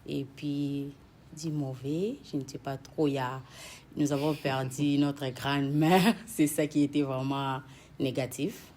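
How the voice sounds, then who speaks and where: A woman with a Congolese French accent, a gospel singer answering questions in a TV talk show interview in a relaxed way, mixing French with Lingala. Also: sits low, a little slow, a little hiss or hum.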